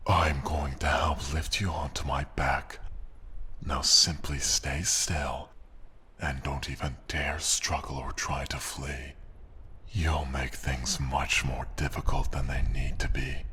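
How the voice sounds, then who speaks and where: A man voicing an arrogant, menacing dragon in a fantasy ASMR roleplay, speaking formally and deliberately with a breathy, gravelly growl. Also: a bass voice, level tone, sharp tone, exaggerated voice. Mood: stern, threatening. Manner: haughty, formal, deliberate, threatening. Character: arrogant, menacing, possessive male dragon, last of his kind. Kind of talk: ASMR fantasy roleplay, slow theatrical monologue.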